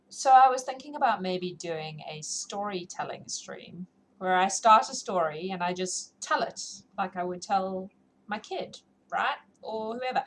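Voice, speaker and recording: A woman hosting a livestream, chatting casually and informally to her viewers in a rambling, self-deprecating way. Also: medium pitch. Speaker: livestream host who reads books aloud. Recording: clearly noisy livestream audio.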